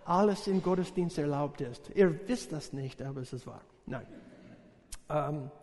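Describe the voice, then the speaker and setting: American guy, english speaker, preaching in a learned language, high voice, lots of ums, echoey church hall. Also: darkish tone. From USA. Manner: halting, searching for words, many fillers, jokes with congregation. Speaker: visiting missionary preacher. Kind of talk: sermon.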